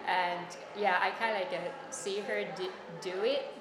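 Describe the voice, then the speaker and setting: A woman from Thailand, a Thai speaker talking in English, being interviewed. She speaks haltingly and fills her pauses a lot while she hunts for words. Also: mid-range voice, fairly even intonation. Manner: halting, many fillers, searching for words. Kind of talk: casual oral history interview. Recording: echoey room with noise.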